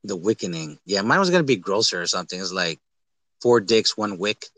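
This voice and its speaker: Guy on a movie podcast, bright punchy voice, bouncy delivery, rambles and jokes around, really dry sound. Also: low-pitched, brisk, no room sound. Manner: animated, rambling, jokey, uses profanity. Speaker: podcast host. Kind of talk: casual movie review chat.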